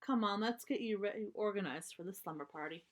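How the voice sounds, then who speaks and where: A woman's voice: mid-range voice, brisk, natural voice. Woman as a cautious, caring storybook mother bear. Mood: advising. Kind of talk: children's storybook read-aloud.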